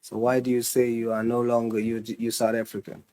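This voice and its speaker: A man's voice: medium pitch, monotone, fairly quick, warm tone. A man questioning officials in a parliamentary committee, pressing and insistent.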